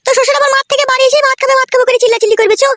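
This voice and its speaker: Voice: very high-pitched, steady tone, rapid-fire, fairly bright tone, a little hiss or hum. A performer voicing a fretful, nagging village housewife and mother-in-law in a comedy cartoon. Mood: motherly. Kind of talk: Bengali comedy cartoon dub.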